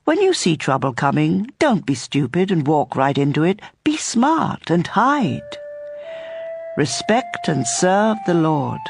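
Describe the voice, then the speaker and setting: A narrator reading scripture in a measured style for a dramatized audio Bible. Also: medium pitch, sing-song, vocal fry, slightly rough. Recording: music bed under voice. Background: instrumental music.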